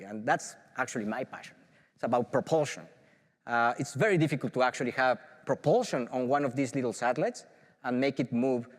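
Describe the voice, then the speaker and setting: A middle-aged man speaks with a Mexican Spanish accent, his pitch sitting in a normal middle range. He talks with eager enthusiasm, often pausing on "uh" and restarting his phrases. His voice carries a long reverb, like a large auditorium picked up by a lectern mic. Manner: enthusiastic, filler-heavy with frequent restarts. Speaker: professor. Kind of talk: conference talk.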